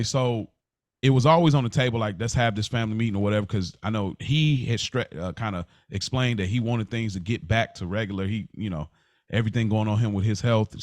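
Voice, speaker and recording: Low-voiced man telling a personal story. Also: no echo. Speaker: podcast co-host. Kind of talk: casual personal storytelling in conversation.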